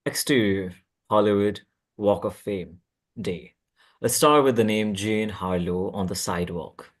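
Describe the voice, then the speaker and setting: A man reading scripted stage directions at a table read, halting and stumbling over words now and then. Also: on the low side.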